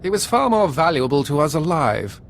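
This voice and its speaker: An actor playing a suave, cunning enemy agent who speaks in a calm, smooth, formal way. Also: male voice, fairly high pitch, normal speed, a little hiss or hum.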